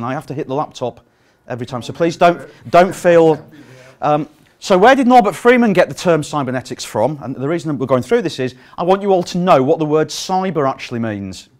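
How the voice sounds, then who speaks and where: British man lecturing to a conference room. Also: a little high. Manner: projecting to fill a room without a sound system; lively, frequent ums and uhs. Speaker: conference speaker. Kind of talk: live conference talk. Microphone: no microphone.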